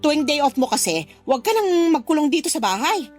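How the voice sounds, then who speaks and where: Nagging Filipino mother with swooping pitch. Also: sing-song, fairly quick, a little mellow. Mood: insistent. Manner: scolding, guilt-tripping. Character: demanding, nagging mother pressuring her grown daughter. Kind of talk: Filipino radio drama acting. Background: background music.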